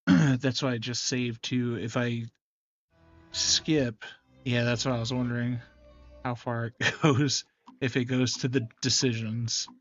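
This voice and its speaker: A man's voice: mid-range voice, breathy edge, highs cut off. A man giving casual, unscripted game commentary as a host, thinking aloud in a hesitant, noncommittal way. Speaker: gaming channel host doing a visual novel readthrough.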